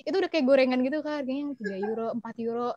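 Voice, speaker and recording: High-pitched young Indonesian woman chatting casually. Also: racing, dry recording, muffled recording. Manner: expressive, giggly, mixes in English and German words. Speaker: interview guest. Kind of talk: casual chat.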